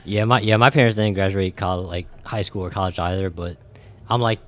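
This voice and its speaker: A man's voice: low-pitched, steady tone, fairly quick, fairly bright tone. A young man co-hosting a podcast, laid-back and agreeable, tossing in slangy interjections. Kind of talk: casual podcast chat.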